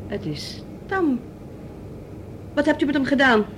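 Icy female alien leader, high animated voice, formal threats and clipped orders, noisy old radio drama tape. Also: measured pace. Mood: brisk command. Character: cold commanding female leader of alien mind-controllers. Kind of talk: Dutch radio drama acting.

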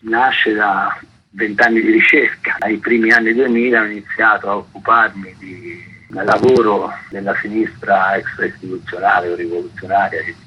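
A man's voice: on the low side, steady tone, normal speed, dull audio, slight background noise. A man explaining as an author in an interview, precise and full of qualifications, on a remote connection. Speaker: interviewed author and historical researcher.

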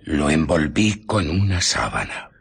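An actor playing a ruthless, irritable fugitive scientist in a radio drama, husky and often dropping to a whisper as he recounts and justifies his deeds. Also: extremely low pitch, very animated, unhurried, full character voice. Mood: cold, self-justifying. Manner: dramatic, brooding, self-justifying. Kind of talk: radio drama narration and dialogue.

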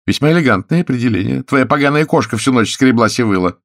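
Casting: male narrator as a theatrical, spiteful woman of the stage, raised pitch, lively swings, audiobook dialogue. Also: fast talker, dry recording, clean studio sound. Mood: scornful, irritated. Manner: spiteful, ironic, melodramatic. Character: haughty, shrill actress woman, venomous and theatrical.

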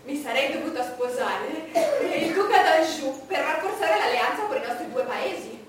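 An actress playing an imperious, vain, solitary English queen in a declamatory stage monologue with dramatic pauses. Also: high voice, lively intonation, far from the mic. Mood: proud, reflective. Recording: noisy, echoey stage recording from afar.

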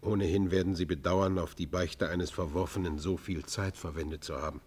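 An actor playing a repentant robber chieftain who confesses in a solemn, declamatory and literary manner in a classic German radio play. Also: male voice, sits low, steady tone, brisk, darkish tone, slightly rough. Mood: subdued, contrite.

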